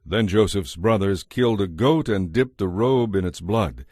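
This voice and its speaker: Male narrator, slightly low voice, expressive melody, scripted scripture storytelling, dry studio sound. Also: moderate pace, no room sound. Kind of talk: scripted narration of a dramatized Bible.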